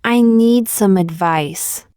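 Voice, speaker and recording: A woman reading short practice sentences aloud for learners, saying each one twice, slowly and carefully. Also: medium pitch, warm tone, smooth, no echo, clean studio sound. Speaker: English teacher.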